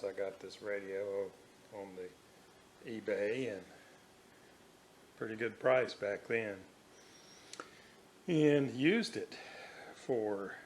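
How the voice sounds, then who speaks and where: Slow, halting man over clear background noise. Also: lowish voice. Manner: halting, hesitant, broken into short phrases. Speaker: hobbyist radio restorer presenting own video. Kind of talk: unscripted workbench vlog narration.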